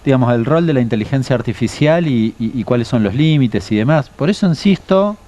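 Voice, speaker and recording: A man's voice: mid-range voice, animated, brisk, warm tone. A man with an Argentine accent speaking emphatically as a panelist on a political talk show, repeating phrases for stress. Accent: Argentine Spanish.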